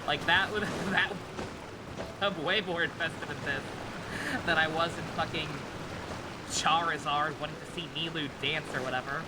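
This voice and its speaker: Lowish-voiced sweary woman streaming, noisy audio. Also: steady tone, normal speed, pauses often. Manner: sweary, irreverent, rambling. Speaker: game streamer. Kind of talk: casual live gameplay commentary.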